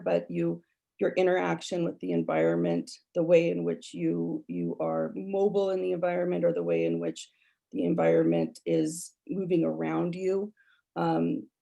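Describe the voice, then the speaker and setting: A woman with an American accent talks reflectively on a panel. Her voice is very gravelly with heavy vocal fry, and its tone is soft-edged and relaxed. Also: on the low side. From Utah, United States. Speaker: writer and academic panelist.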